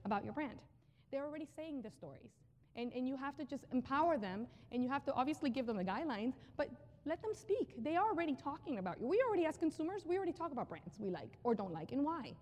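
A woman giving a live conference talk on brand storytelling, energetic and conversational, often repeating phrases for emphasis. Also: high voice. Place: large hall with an audience. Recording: long hall reverb.

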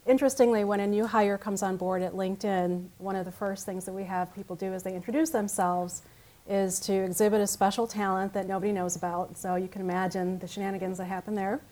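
A woman whose first language is Italian, presenting at a conference in a conversational way, with frequent pauses and restarts. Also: mid-range voice, steady tone, dark tone. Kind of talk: conference talk. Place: conference room with audience.

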